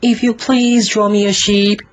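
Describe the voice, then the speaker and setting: A man's voice: sits high, flat delivery, a little slow, voice pushed up. A man voicing a strange little boy in a storybook, earnest and plainly insistent.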